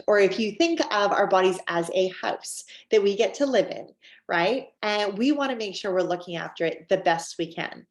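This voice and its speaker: Upbeat Canadian woman in an echoey room. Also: mid-range voice. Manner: upbeat, chatty, with frequent fillers. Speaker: wellness presenter. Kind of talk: scripted-feeling sales presentation. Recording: roomy echo.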